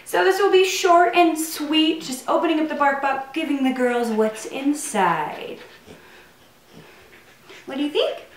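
A woman's voice: high voice, unhurried. A young adult woman hosting a casual home vlog, talking to camera and cooing playfully to her pets. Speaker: vlog host. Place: living room at home, indoors. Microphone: camera a few feet away. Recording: roomy, distant mic, faint noise.

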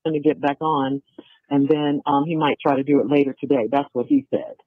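A woman's voice: deep voice, lively intonation, brisk, no echo. A woman in a casual phone conversation, heard through a tinny recorded telephone line. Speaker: caller talking with a prisoner.

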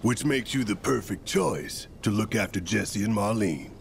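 A man playing a big, gruff, hot-tempered rebel leader in a video game, gravelly and commanding, with slangy speech. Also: lowish voice, lively intonation, normal speed, slight background noise. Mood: firm. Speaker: resistance cell leader. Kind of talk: cinematic video game acting.

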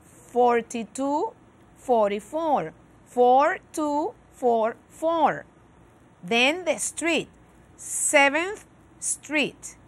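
A middle-aged Cuban woman with a Cuban Spanish accent, teaching an English lesson on educational television in a studio, switching between English and Spanish and speaking slowly and carefully. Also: high voice, expressive. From Cuba. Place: TV studio with virtual set.

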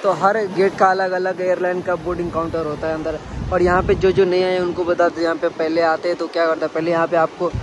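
Young man, high voice, casual vlog talk, repetitive phrasing, phone held at arm's length, loud street noise. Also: level tone, fast. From Bihar, India. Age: young adult. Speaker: vlogger. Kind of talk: casual vlog commentary to camera. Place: outdoors at busy airport forecourts and streets. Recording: loud outdoor traffic and city noise.

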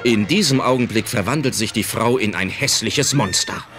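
A man's voice: lowish voice, moderate pace. A man narrating a scripted audio drama as its storyteller. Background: music.

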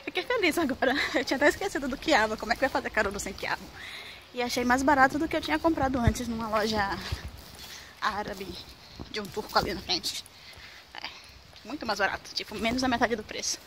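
A woman's voice: a little high, a little fast. A young woman from Salvador with a Bahian Brazilian accent, chatting casually and informally to a handheld camera as a vlogger. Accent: Bahian Brazilian Portuguese. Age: young adult. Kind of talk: casual vlog chat to camera. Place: at home and walking on city streets. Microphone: handheld camera at arm's length. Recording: noisy handheld recording with ambient noise.

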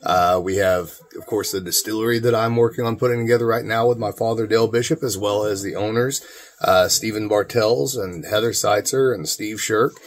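Middle-aged male vlog host, lowish voice, folksy and informal, talking straight to camera from a room at home. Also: steady tone, a little fast. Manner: folksy, informal, addresses viewers as guys. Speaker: distiller and video channel host. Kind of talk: casual talking-head vlog. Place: small cluttered room at home, indoors.

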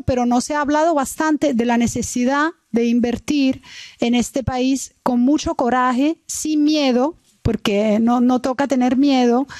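A woman's voice: sits high, darkish tone. A young Italian woman speaking Spanish with a Cuban accent, giving an earnest forum speech at a desk microphone in a large meeting hall, with some hesitant restarts. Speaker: business representative speaking at a forum. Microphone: desk microphone on a stand.

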